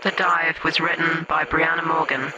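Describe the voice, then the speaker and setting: A man's voice: on the high side, completely flat delivery, normal speed, background noise. A man reading scripted credits as an announcer. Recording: loud music bed.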